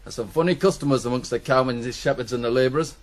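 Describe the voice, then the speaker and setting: A man's voice: medium pitch, fast, lightly acted. An actor in a 1980s radio drama playing a bossy, ambitious ploughman who instructs a young lad in a brusque, teasing way. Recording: noisy 1980s radio broadcast recording.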